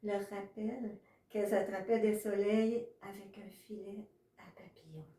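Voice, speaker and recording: Woman, poetry reading, slow measured phrasing, frequent pauses, soft-edged dark tone, distant from the mic. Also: medium pitch, little pitch movement, slight background noise. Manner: measured, pausing between short phrases. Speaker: author reading own poetry. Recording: echoey room, distant from mic.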